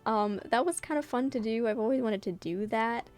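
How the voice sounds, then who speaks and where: A woman's voice: fairly high pitch, moderate pace, darkish tone. A woman chatting casually about her own webcomic in a giggly, rambling way, as its creator. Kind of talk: casual commentary and chat.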